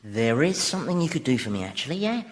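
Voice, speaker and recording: Radio comedy: male actor as towering winged devil, dry, sarcastic, casually cruel, animated intonation, noisy track. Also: mid-range voice, fast talker, lightly acted. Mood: mocking, contemptuous. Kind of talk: radio comedy drama acting.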